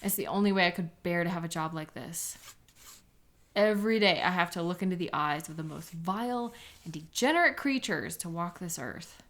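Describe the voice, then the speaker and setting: A woman's voice: fairly low pitch, normal speed, fairly bright tone. Woman acting a bitter, sarcastic sketch artist. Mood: cold, bitter. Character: embittered young woman police sketch artist with memory-erasing powers, contemptuous. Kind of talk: dramatic audio roleplay monologue.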